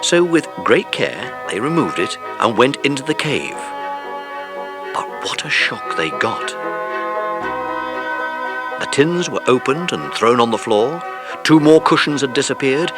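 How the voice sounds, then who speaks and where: A man narrating a children's story in an expressive, dramatic way, as in a scripted audiobook. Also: mid-range voice.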